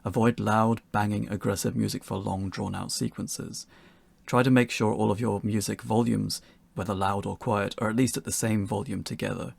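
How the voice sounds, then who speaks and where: A man narrates a scripted tutorial in a fairly low voice. Strong creak and fry run through his delivery. The sound is dry, with no room echo, as he presents instructions for beginners. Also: steady tone, moderate pace, a little mellow.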